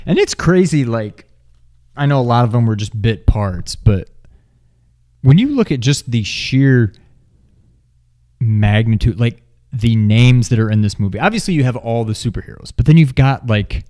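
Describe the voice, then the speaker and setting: A man co-hosting a movie review in a casual chat, with informal, halting phrasing. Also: mid-range voice, animated, mellow. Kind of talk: casual chat, film discussion.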